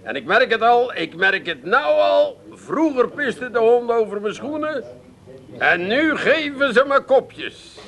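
A fanatical animal lover in a radio comedy. The voice is extremely high and piercing, fussy and self-important, often repeating phrases in excitement. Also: male voice, a little slow, creaky, heavily acted. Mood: gloating. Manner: excitable, pompous, repeats himself. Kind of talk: comic Dutch radio play acting.

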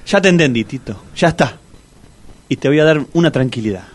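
A man's voice: mid-range voice, expressive, brisk, lightly acted. An actor playing a stubborn, lonely man in his sixties with a Rioplatense Argentine accent, insistent and plaintive, in a comic radio drama. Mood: reassuring. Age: 60s. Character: Latorca, stubborn, lonely older man eager to meet women.

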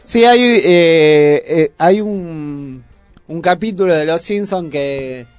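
A man with a high voice and a Buenos Aires accent hosts a casual radio chat. The sound is dry and close, with faint music underneath. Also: slow, smooth, no room sound. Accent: Argentine, Buenos Aires. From Argentina. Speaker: radio host.

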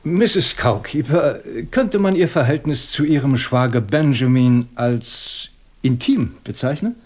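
An actor playing a shrewd, composed police inspector in a German radio crime drama, questioning methodically with dry superiority. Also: male voice, medium pitch, lively intonation, unhurried, a little mellow, a little hiss or hum, close to an everyday voice. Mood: matter-of-fact questioning. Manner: methodical, probing, dryly superior.

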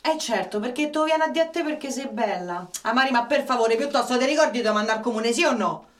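A woman's voice: on the high side, fast, close to an everyday voice. A woman playing a blunt, streetwise Roman woman in a tragicomic radio play, with a colloquial delivery. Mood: scornful. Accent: Roman Italian. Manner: brash, colloquial. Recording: roomy, slightly distant microphone.

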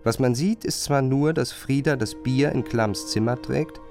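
A man narrating a literary radio play, retelling a chambermaid's grievances in an expressive, emphatic way. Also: medium pitch, a little slow, vocal fry, faint music behind. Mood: engaged, aggrieved.

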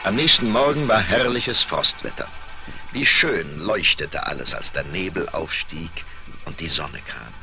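A man with a gravelly voice narrating a scripted fairy tale for children as a storyteller. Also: sits low, animated, measured pace, pushed and bright.

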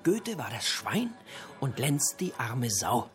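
An actor playing a talkative literature buff in a radio drama, lecturing theatrically in pithy, punchy fragments. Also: male voice, mid-range voice, big pitch swings, slow pace, soft background music. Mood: relishing, theatrical. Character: talkative literature buff holding forth in a pub.

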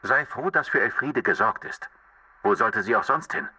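A man's voice: mid-range voice, moderate pace, sharp tone, slight background noise. An actor playing a cold, evasive bourgeois man on the telephone, condescending and politely dismissive, in a radio drama. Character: cold, evasive bourgeois brother-in-law, coolly self-serving. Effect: telephone filter. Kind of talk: German radio play acting. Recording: harsh, thin telephone line, distant.

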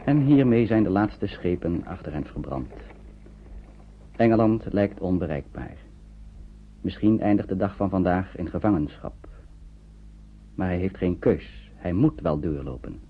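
A man narrating a radio drama in a measured, solemn storytelling manner. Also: deep voice, expressive, a little hiss or hum. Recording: tinny, narrow-band old radio recording.

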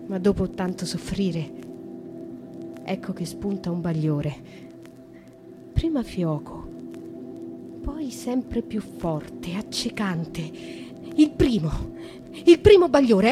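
Young noblewoman captive, brooding and lyrical, pitched high, radio play with soft music behind. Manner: lyrical, slow and reflective. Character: young noblewoman imprisoned in a dark cell, brooding. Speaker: actress. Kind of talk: radio drama monologue.

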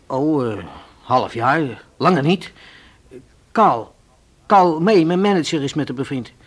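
An actor playing a nervous, defensive young nightclub entertainer in a radio play, with a hesitant, evasive way of talking. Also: male voice, on the high side, animated, unhurried. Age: young adult.

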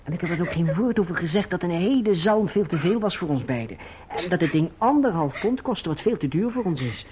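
An actor playing a patient, doting young husband in an old radio drama, speaking in a tender, coaxing, earnest way. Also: male voice, sits high, animated, brisk. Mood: fond, conciliatory. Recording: dull, faintly noisy old radio recording.

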